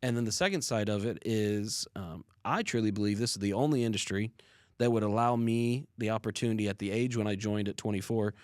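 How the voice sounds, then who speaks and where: A man's voice: fairly low pitch, fairly quick, darkish tone, dry recording, studio quality. A young adult man co-hosting a podcast, telling stories in a casual, earnest and reflective way. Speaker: podcast co-host.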